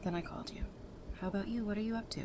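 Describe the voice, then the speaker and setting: A woman's voice: medium pitch, fairly even intonation, very fast, noisy background, lightly acted. A woman playing a caring, stubborn and playful survivor in an audio drama. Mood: playful, tired. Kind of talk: fan audio drama acting.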